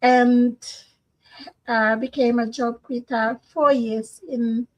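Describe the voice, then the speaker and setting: A woman from answers interview questions over a video call. Her voice is quite high. She talks warmly and gratefully, with many small fillers. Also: fairly even intonation, takes it slowly, highs cut off. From Papua New Guinea. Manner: warm and grateful, with frequent fillers. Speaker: guest, business owner.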